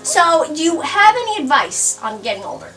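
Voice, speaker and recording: A middle-aged woman comedian chatting casually as an interviewer, halting and coaxing, recorded from a distance in a roomy space. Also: sits high, wildly swooping pitch, sharp tone, soft background music. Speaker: comedian presenting a one-woman show and interviewing.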